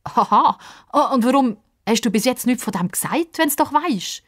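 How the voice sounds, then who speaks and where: A woman's voice: on the high side, lively intonation, normal speed, fairly bright tone, pitched above the performer's own voice. A woman playing a pushy, impatient young girl in a children's story, direct and urging.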